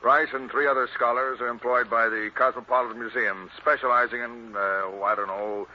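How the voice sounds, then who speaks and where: Guy playing a gruff stubborn police boss, plain talk, middle voice, muffled old radio show. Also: medium pitch, steady tone, moderate pace, a little hiss or hum, natural voice. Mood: explaining. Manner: gruff, plain-spoken, dogmatic. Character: blunt, stubborn male police commissioner. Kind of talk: 1940s radio acting. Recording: dull old radio drama recording.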